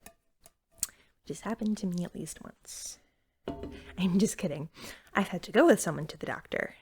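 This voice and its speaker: A woman acting as a friendly young-sounding shop cashier in an ASMR roleplay, with a creaky edge to her soft voice. She drifts between gentle speech and whispering, rambling helpfully and eagerly as she serves a customer. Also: lowish voice, a little slow, faint music behind, full character voice. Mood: chatty, helpful. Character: eager, friendly female cashier at magical pet store.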